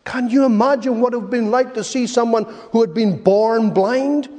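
A man preaching a sermon in an emphatic, rhetorical way, with repeated phrases and dramatic pauses. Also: extremely high pitch, warm tone. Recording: long reverberant hall echo.

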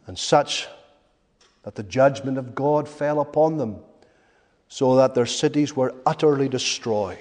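Male preacher, high-pitched voice, very measured pace, emphatic rhetorical sermon delivery. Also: darkish tone. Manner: emphatic, rhetorical repetition with dramatic pauses. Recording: long reverberant hall echo.

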